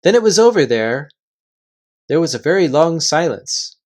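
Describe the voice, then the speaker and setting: A man reading a children's fantasy novel aloud as a volunteer, in an expressive storytelling style. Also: a little high, normal speed. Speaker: volunteer reader.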